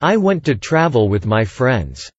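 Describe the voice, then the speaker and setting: Slow, husky man reading lesson replies. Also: medium pitch, very animated, no echo, muffled recording. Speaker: English dialogue reader. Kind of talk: scripted language-lesson reading.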